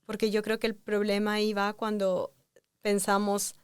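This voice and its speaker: A woman in her forties, a doctor guest on an interview, speaking calmly and reflectively. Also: medium pitch, normal speed, clean tone, dry recording. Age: 40s. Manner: calm, reflective, explains thoughtfully.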